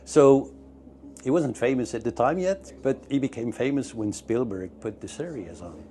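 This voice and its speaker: A man's voice: medium pitch, expressive, normal speed, dark tone, slight background noise. A middle-aged man from Belgium, a museum founder eagerly telling his story in slightly broken English during an interview. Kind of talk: on-location interview. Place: museum, indoors.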